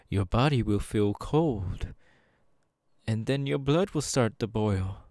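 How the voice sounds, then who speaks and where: A man voicing a suave, menacing demon in an ASMR roleplay, with teasing, patronising, drawn-out phrasing, close on the microphone. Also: a little high, a little mellow, no room sound. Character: suave, menacing male demon, playfully condescending and seductive. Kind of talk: ASMR audio roleplay, second-person monologue. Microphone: close dry microphone.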